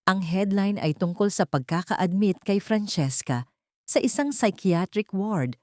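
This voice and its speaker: A woman with a Filipino accent narrating a scripted dramatic story in solemn, measured phrases. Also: medium pitch, animated, dark tone, no room sound, clean studio sound.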